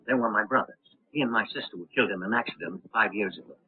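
An actor in a 1930s film playing a troubled, stern elderly uncle of a wealthy family. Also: male voice, lowish voice, fast talker, slight background noise, lightly acted. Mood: sombre. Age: older. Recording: muffled, narrow-band 1930s film soundtrack.

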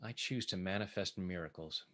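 A man working as a tapping coach, leading guided affirmations in short, measured phrases meant to be repeated. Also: deep voice, fairly bright tone.

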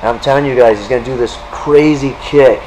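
A man's voice: medium pitch, a little fast, darkish tone, rough recording. Hype guy interviewing a fighter, mid voice, gym noise behind him. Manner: hyping, enthusiastic. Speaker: interviewer and show host. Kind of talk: casual interview. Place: large indoor gym.

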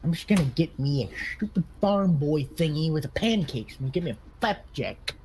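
A man's voice: fairly high pitch, expressive, normal speed, darkish tone, a little hiss or hum. A man playing a bumbling, sneering country sheriff who stammers and repeats his words in a comedy game roleplay. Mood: sneering, smug.

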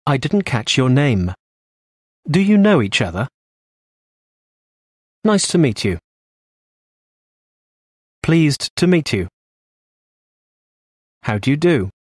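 A man reads everyday English phrases aloud for learners, one by one. His pitch moves a lot within each phrase, and he keeps an unhurried pace. The recording is dry and close. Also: dry recording. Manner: slow, clear, pauses between phrases. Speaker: phrase reader. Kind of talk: slow scripted phrase reading.

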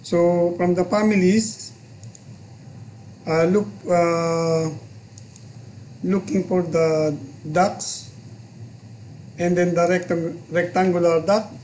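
A man in his forties with a Filipino accent, hosting a home vlog and software tutorial, chatty and halting, mixing languages. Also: high voice, little pitch movement, slight background noise, rough recording. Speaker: channel host and software tutor. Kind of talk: casual vlog chat and screen tutorial. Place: small room at home, indoors.

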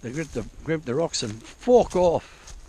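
A man with a slightly high voice talks loosely and off the cuff, correcting himself as he wanders. He swears casually, and his voice is picked up by a camera on his head out in the bush. A faint hiss of outdoor noise sits behind him. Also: sing-song, unhurried, mellow. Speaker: prospecting vlogger. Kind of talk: rambling outdoor walkthrough. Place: bush forest outdoors, old diggings. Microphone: head-mounted action camera.

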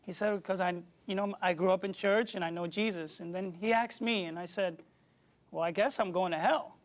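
Soft-spoken young man with a high voice. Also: fairly even intonation, brisk. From Puerto Rico. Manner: soft-spoken, many "um"s, respectful "yes, sir". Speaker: reverend, guest. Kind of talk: personal testimony in an interview. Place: television studio set, indoors.

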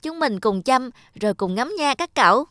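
A woman's voice: sits high, expressive, normal speed, noisy background. A woman voicing earnest, hopeful young children in a cartoon. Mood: curious, excited.

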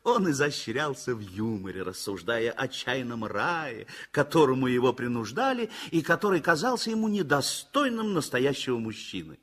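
Give me an expressive older male narrator for a vintage radio play, husky voice, very animated. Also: mid-range voice, normal speed, few pauses. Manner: expressive, storytelling. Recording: old radio play with faint music.

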